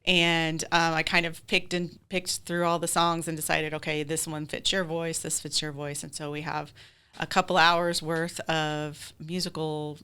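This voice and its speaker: Female theater director as podcast guest, slightly low, smooth voice, casual and enthusiastic explainer. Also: little pitch movement, moderate pace, pushed and bright. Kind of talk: casual interview chat.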